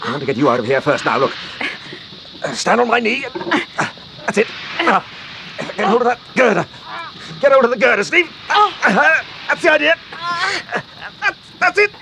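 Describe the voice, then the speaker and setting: An actor playing a calm, protective, well-spoken British gentleman detective and husband in a radio drama. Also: extremely high pitch, moderate pace, gravelly, long pauses, heavily acted. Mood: urgent, protective. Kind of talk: BBC radio drama acting. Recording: noisy radio drama with sound effects.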